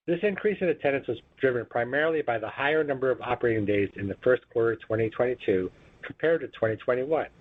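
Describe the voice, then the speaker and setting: A man's voice: mid-range voice, slightly rough. A man reading a scripted financial results presentation as a company executive, in a formal, businesslike manner. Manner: formal, businesslike, measured recitation of figures. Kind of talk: scripted earnings call presentation, read aloud. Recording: tinny, muffled phone conference line.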